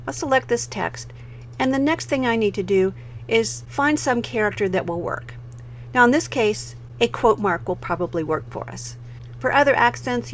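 A woman with an American accent presents a software tutorial as a voice-over, explaining clearly step by step. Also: medium pitch, slight background noise. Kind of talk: instructional screen-recording narration.

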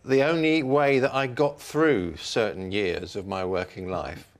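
Man, lowish voice, measured and articulate panel reviewer. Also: fairly even intonation. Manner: measured, articulate, formal phrasing. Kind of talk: considered spoken book review.

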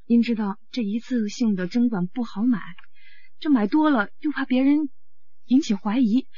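A woman's voice: a little high, moderate pace, dark tone, slightly rough, highs cut off. A woman playing an anguished, uneasy singer with an addiction in a radio drama, confiding and hesitant. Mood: uneasy confession.

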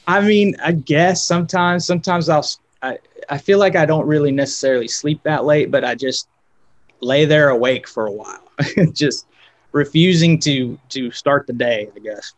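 A man's voice: on the high side, normal speed. A man in his thirties, a guest guitarist, talking casually on a video call with many fillers and restarts.

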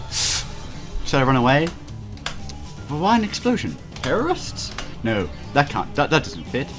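Animated young man reading a game aloud. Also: medium pitch. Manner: stumbling read-aloud delivery, often breaking into laughter. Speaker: let's player reading a visual novel aloud. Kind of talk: reading game text aloud with joking chat. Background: video game music.

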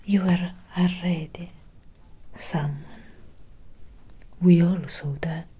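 A woman playing a centuries-old vampire doctor in a soft-spoken ASMR roleplay, speaking in a solemn, measured, breathy whisper. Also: on the low side, fairly even intonation, full character voice. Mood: solemn, reassuring. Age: young adult. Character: centuries-old female vampire doctor, grave, gently caring.

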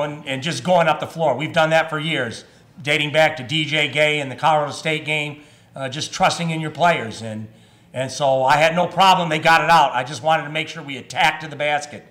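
Fifties male coach, a bit high-pitched, halting and measured, speaking into a press table mic. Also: pushed and bright. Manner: measured, with frequent fillers and restarts. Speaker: head basketball coach. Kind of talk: postgame press conference. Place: press room in front of a sponsor backdrop. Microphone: table microphone.

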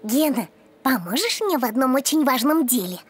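A small puppy boy from a children's cartoon. The voice is high and childlike, earnest and pleading, with faint music behind it. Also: sing-song, moderate pace. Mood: pleading, determined. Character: earnest little boy puppy, eager to prove himself.

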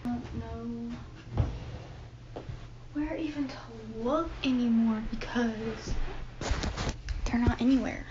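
Young woman, high voice, unhurried rambling chatter, mock-dramatic, boomy bedroom, dull phone audio with hiss. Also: reverberant. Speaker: vlogger. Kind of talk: casual clean-with-me vlog chatter. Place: carpeted bedroom, indoors. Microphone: phone camera set across the room.

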